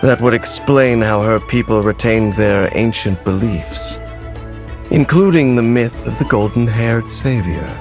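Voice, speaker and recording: A man voicing a stern, aloof lone warrior in a video game, speaking in a formal, measured, terse manner. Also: medium pitch, vocal fry, music in the background. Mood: grave. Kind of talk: dramatic video game character acting.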